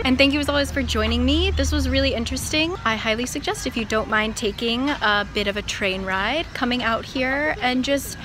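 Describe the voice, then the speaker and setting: A young woman chatting casually and informally to camera as a travel vlogger, recorded outdoors on a handheld phone. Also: a little high. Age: young adult. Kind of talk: casual vlog chat to camera. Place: outdoors in an open park and parking lot. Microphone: phone at arm's length. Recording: outdoor noise, wind and traffic ambience.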